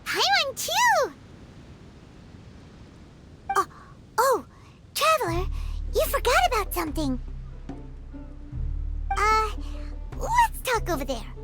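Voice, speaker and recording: A woman voicing a small, chatty, excitable fairy companion in a video game, with a squeaky childlike voice. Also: takes it slowly, pauses often, music in the background, heavily acted. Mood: eager, chatty. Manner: speaks of self in third person, expressive. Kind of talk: video game character acting.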